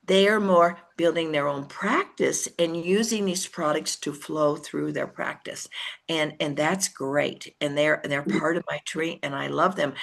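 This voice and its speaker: An older woman giving a chatty motivational training talk on network marketing, acting out conversations in lively voices. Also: deep voice, creaky.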